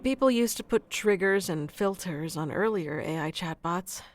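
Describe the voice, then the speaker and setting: A woman's voice: on the low side, expressive, normal speed, a little hiss or hum, lightly acted. A woman playing a sweet, nurturing AI relationship coach in an ASMR roleplay, speaking tenderly and reassuringly to one listener. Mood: somber, serious.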